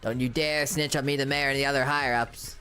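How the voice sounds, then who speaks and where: A man's voice: mid-range voice, fairly even intonation, fast, bright, forceful tone, faint music behind, natural voice. A man voicing a jumpy, evasive factory worker in a video game. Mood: flustered, defensive.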